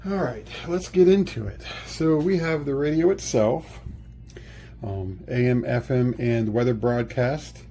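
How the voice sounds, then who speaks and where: Guy with an average pitched voice walking thru a gadget review step by step, bit of background noise. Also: unhurried, dark tone. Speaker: product reviewer. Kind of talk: casual product demonstration. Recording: faint background noise, room ambience.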